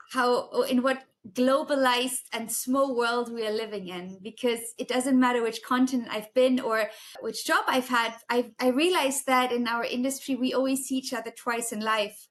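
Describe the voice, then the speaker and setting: A woman from Germany, a negotiation expert, answering interview questions politely and articulately. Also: on the high side. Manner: articulate, polite, some restarts.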